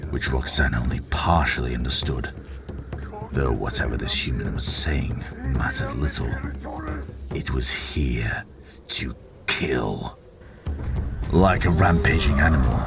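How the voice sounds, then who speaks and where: A man's voice: very deep voice, vocal fry. A man narrating a fiction audiobook in a dramatic, scripted storytelling style. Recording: voice over prominent background music.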